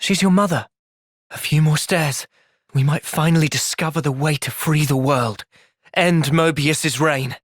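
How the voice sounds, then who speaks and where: A man's voice: on the high side, a little slow, no echo, studio quality. A man playing an earnest, thoughtful young soldier hero with a British accent in a video game. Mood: calm, resolute. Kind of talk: video game cutscene voice acting.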